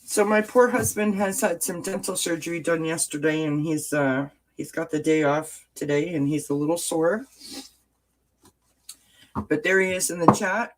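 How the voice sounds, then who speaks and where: A woman hosting a casual livestream from home with a stuffed-up nose, greeting viewers in the chat by name with pet names and often pausing on filler words. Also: low-pitched, fairly even intonation, poor audio. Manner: frequent filler words and restarts. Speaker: livestream host. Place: indoors at home, lights off, sunny window behind.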